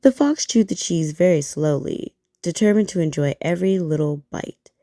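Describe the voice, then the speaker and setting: Low, gravelly bedtime storyteller woman. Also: normal speed, no room sound, studio quality. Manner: soft, gentle and soothing.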